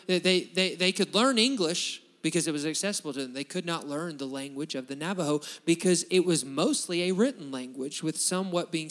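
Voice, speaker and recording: Emphatic preacher man in a reverberant hall. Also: on the high side. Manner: emphatic, repetitive restarts, rising bursts of intensity. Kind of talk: sermon.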